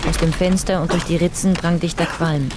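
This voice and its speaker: A woman's voice: on the low side, fairly even intonation, a little fast, very noisy. A woman narrating a radio drama from a script.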